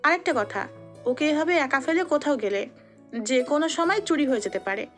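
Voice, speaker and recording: Tough senior girl, firm and commanding, high voice, played by a woman. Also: animated, moderate pace, faint music behind. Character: confident senior female student, protective and tough.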